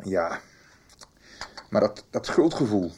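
An actor playing a lonely, guilt-ridden middle-aged widower, speaking haltingly in a naturalistic radio soap. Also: male voice, on the low side, sing-song, darkish tone, slight background noise. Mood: heavy-hearted. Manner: halting, careful.

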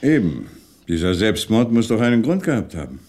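An actor playing a shrewd French police commissioner in a 1960s German radio crime drama, questioning in a measured way with pointed pauses. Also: male voice, lowish voice, sing-song, a little mellow. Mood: probing.

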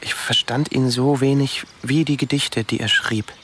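An actor playing a withdrawn, fearful young recluse in a radio drama, speaking hesitantly in short sentences. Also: male voice, medium pitch, steady tone, normal speed, background noise, natural voice. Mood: subdued. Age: young adult. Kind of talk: German radio drama acting, narrating memories.

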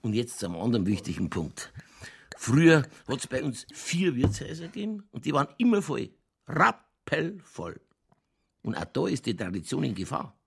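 A man playing a boozy, world-weary middle-aged police chief in a radio play, with a Lower Bavarian accent and a rambling, tipsy, cynically jovial delivery. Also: very animated, moderate pace, creaky, noisy background. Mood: conspiratorial, indignant. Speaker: actor in a crime radio play. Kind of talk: naturalistic German radio drama dialogue.

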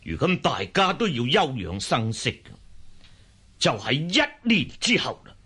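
An actor playing a hearty, paternal old khan in a Cantonese radio drama, with a husky voice and a weighty manner. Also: male voice, mid-range voice, sing-song, unhurried, pushed and bright, heavily acted. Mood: weighty, genial.

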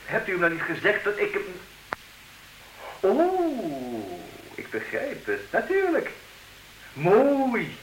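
A man acting a stubborn, mock-courteous gentleman in a comic radio play. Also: sits high, full character voice, low-quality recording. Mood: flustered on phone. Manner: mock-courteous, formal, teasing. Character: suave, stubborn gentleman rival for a flat. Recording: hissy old radio play recording.

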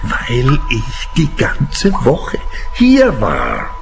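An actor playing a nervous, eccentric man in hiding in a radio play, excitable, stammering and rambling. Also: medium pitch, very slow, vocal fry, soft background music, exaggerated voice. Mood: nervous, eager.

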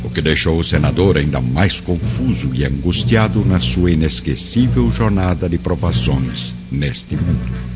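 A man narrating a radio drama in a dramatic, scripted style. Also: low voice. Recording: muffled radio drama under loud music.

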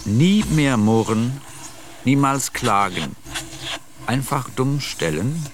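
A man's voice: mid-range voice, expressive, slow, slight background noise. A man performing spoken word for a radio play in clipped, fragmentary phrases.

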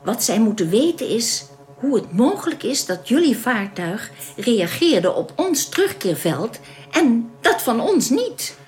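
A woman's voice: a little high, sing-song, measured pace, darkish tone. Composed, lilting young alien woman. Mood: earnest, explanatory. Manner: polite, composed, explanatory. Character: calm, welcoming young alien woman guiding stranded space travellers. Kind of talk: radio drama acting.

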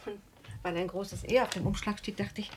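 A woman's voice: medium pitch, steady tone, brisk, lightly acted. Actress as a curious woman, noisy background. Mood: practical. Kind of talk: German radio drama acting.

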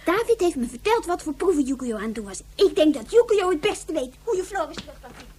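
A woman's voice: high voice, big pitch swings, quick pace, a little mellow, slight background noise. A woman playing an eager, pleading, stammering young girl in a science-fiction radio play. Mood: stubborn, pleading.

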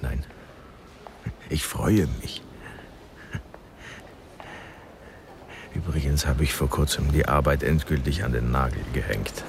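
A very deep, tired older father speaks in a radio play. He is resigned and mocks himself, pausing as he goes. Clear background noise surrounds the voice. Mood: calm, wry. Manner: halting, resigned, bitterly self-deprecating. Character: weary, broken, self-mocking father, a failed scholar. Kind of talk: radio drama acting.

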